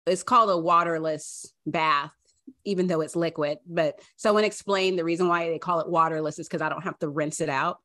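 A woman's voice: low voice, steady tone, brisk, bright, forceful tone. A woman hosting a casual chat over a video call, talking in an easygoing conversational way. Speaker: host. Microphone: video call webcam.

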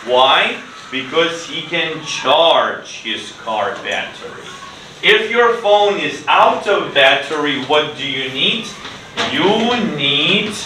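A man's voice: fairly high pitch, bright, forceful tone. A middle-aged man teaching an English class, giving short prompts and repeating phrases for learners to echo. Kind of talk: classroom ESL lesson, questioning students. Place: classroom, standing at the board. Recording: echoey noisy classroom, distant mic.

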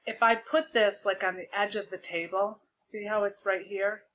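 A woman teacher projecting her voice loudly as she explains a lesson step by step over a video call, repeating the key points. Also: medium pitch, steady tone, normal speed, muffled recording, slight background noise. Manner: loud projected voice. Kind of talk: remote classroom lesson. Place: home desk with a document camera. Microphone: computer mic on a video call.

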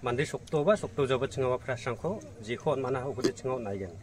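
A man's voice: mid-range voice, quick pace, recorded from a distance, crowd noise. A man in his thirties, an event organizer, answering interview questions outdoors by a pond in a hesitant way, often opening with "ah". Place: outdoors by a rural fish pond.

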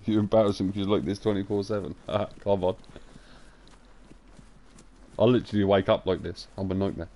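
A young man with a croaky voice, joking crudely and chatting casually while live-streaming a game. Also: on the low side, steady tone, a little fast, pauses often, mellow. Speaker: gaming streamer.

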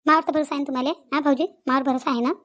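A woman's voice: sits high, steady tone, racing, a bit bright. A woman playing a middle-aged, insistent village sister-in-law in a Marathi cartoon comedy, with a Vidarbha accent, coaxing and scolding repetitively. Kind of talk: cartoon family comedy dialogue.

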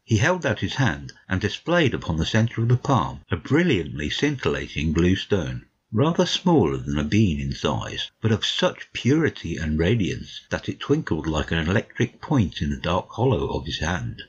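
Guy reading as a detective's gentleman companion, measured audiobook narration, husky low voice, slightly dull recording. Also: very animated, heavily acted. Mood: measured storytelling. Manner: measured, literary storytelling.

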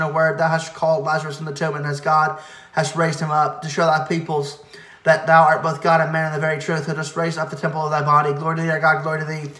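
A man leading a church service, chanting hymn verses in a quick, steady recitation with occasional stumbles and self-corrections. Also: a little high, reverberant.

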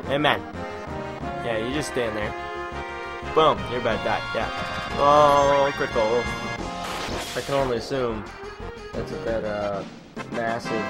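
A young man giving casual, rambling gameplay commentary with jokey mock bravado. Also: on the low side. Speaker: let's play commentator. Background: video game music.

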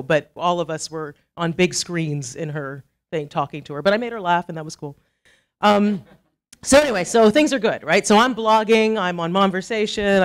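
A middle-aged woman from Trinidad with a Trinidadian accent, giving a chatty, self-deprecating conference talk full of asides. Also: on the low side. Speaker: conference speaker. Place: conference hall.

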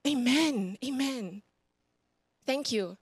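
A woman in her thirties with a Singaporean accent preaches from a church stage, her voice sitting a little high. She talks into a handheld mic with a dry, close sound. She paces and gestures while telling her own stories and repeats key lines for emphasis. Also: slow pace, breathy edge, no echo. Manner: animated, roams the stage gesturing; emphatic, repeats phrases for effect. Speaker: guest preacher. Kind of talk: sermon with personal stories. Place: church stage before a congregation.

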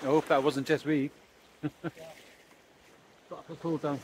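Angler, middle-aged, chatty, talking to a close camera outdoors, slightly high voice, faint wind and water behind him. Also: male voice, level tone, takes it slowly, a little mellow. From Wales. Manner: chatty, explaining as he works. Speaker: fishing vlogger. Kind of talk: casual chat to camera. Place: outdoors on a pebbly beach by the sea. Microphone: camera close up, held or on a stand.

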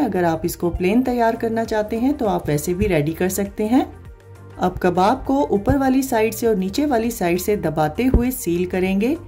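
Female cooking host, warm low-key delivery, instructional voice-over. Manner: calm step-by-step explaining, addresses viewers directly. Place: voice-over, not recorded in the pictured kitchen.

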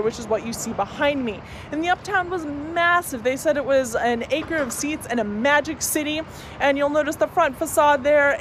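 Chatty young woman tour guide outdoors. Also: high-pitched. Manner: chatty and enthusiastic, with asides. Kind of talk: walking-tour presentation to camera. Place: outdoors on a city street. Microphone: phone camera at arm's length. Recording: outdoor street noise with traffic.

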